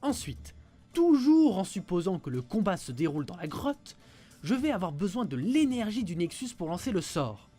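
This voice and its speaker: A man's voice: high-pitched, big pitch swings, moderate pace, warm tone, soft background music. A man playing a knowledgeable, wry and hesitant mage in an amateur audio fiction. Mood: explaining, doubtful.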